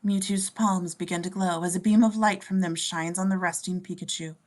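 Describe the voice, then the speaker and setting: A woman's voice: lowish voice, fairly even intonation, brisk, a little mellow. A woman reading scene descriptions as narrator in a table read of a script.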